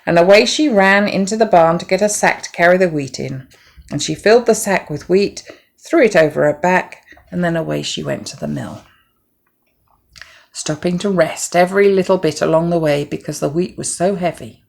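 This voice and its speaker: A woman reading a children's story as narrator, with an expressive, deliberate storybook delivery. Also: on the low side, vocal fry.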